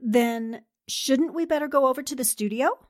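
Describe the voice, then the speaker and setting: Casting: actress for sharp, self-assured newspaperwoman, higher register, vintage radio-play delivery. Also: normal speed, lightly acted. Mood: brisk, wry. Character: sharp, confident newspaperwoman explaining a murder plot. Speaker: voice actor in an amateur radio drama. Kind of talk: 1940s radio drama acting.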